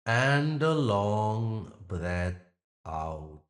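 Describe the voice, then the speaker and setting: A man guiding a meditation as a yoga nidra teacher, speaking in gentle instructions with long pauses. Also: fairly low pitch, very animated. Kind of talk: guided meditation.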